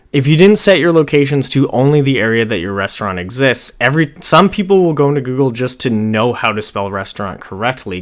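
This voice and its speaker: A man in his thirties giving an informal screen-share tutorial, thinking aloud in a rambling way as he works at his computer. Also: medium pitch. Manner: rambling, informal, muttering to himself while working. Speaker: online marketing tutorial host. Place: small indoor room at a computer.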